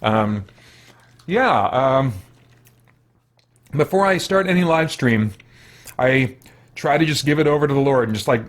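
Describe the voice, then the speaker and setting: A man's voice: medium pitch, expressive, a little slow, long pauses. An American man chatting casually as a livestream host, thinking aloud in a rambling, self-correcting way. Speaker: live streamer, gaming host.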